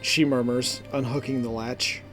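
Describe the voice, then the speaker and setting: A man narrating a scripted fantasy story in a measured, deliberate, dramatic manner. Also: medium pitch, fairly even intonation, low-quality recording. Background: background music.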